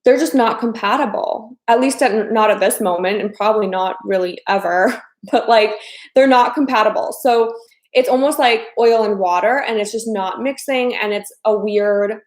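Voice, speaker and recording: A woman's voice: mid-range voice, normal speed, echoey room. A chatty woman in her thirties from the American Midwest, hosting a casual live stream as a dating coach and often repeating her phrases. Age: 30s.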